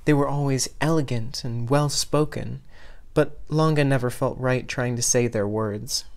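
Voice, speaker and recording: Fiction narrator for an audio story: expressive scripted delivery at a medium pitch. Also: unhurried. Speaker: podfic reader.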